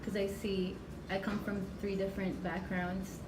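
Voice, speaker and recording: A young woman from the Philippines reflects calmly on her answers. Her voice carries a noticeable room echo. Steady background noise is clearly audible behind her. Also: medium pitch, normal speed, distant mic. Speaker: interviewee.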